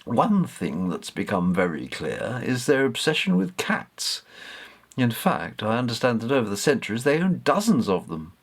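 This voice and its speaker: A man voicing a learned, modest old man in a storybook, explaining things in a measured way. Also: mid-range voice, wildly swooping pitch, slightly rough, heavily acted. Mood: thoughtful, explanatory.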